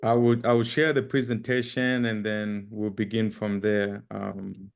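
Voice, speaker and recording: Male guest speaker, Zambian accent. Deep-ish, creaky voice, halting informal presentation style. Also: flat delivery. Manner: halting, with repeated words and frequent pauses. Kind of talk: informal presentation with personal introduction.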